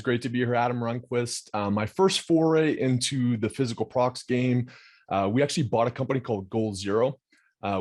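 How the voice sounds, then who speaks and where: A man casually introducing himself as a judge, relaxed and enthusiastic with many fillers. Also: lowish voice. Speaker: judge on a pitch panel.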